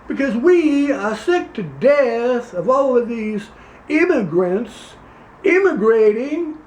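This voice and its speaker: A man's voice: very high voice, heavily acted. A man playing a blustering, pompous male Louisiana politician with a Louisiana accent in an improvised comedy sketch. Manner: pompous, folksy, rambling. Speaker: comedy performer. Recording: roomy echo.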